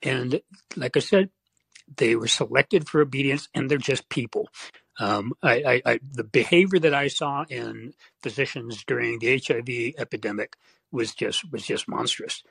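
A man phones a talk show with strong, indignant opinions. His voice is creaky and rough, with a dry sound free of room echo. He speaks off the cuff, haltingly, often repeating himself. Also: mid-range voice, fairly bright tone. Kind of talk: opinionated unscripted call-in talk.

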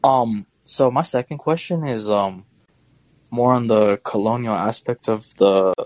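A man's voice: lowish voice, a little slow, a little mellow, no room sound. A young man calling in to a podcast, telling a rambling story and asking questions in a chatty, casual way. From United States. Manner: rambling, chatty, laughs while telling stories. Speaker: caller.

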